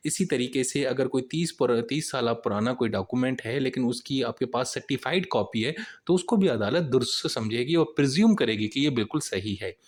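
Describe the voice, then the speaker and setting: A man's voice: medium pitch, animated, a little fast, hardly any pauses. A man with a Pakistani accent teaching as a law lecturer, informally explaining and rephrasing each point in plain words with conversational tags. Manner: conversational tag phrases.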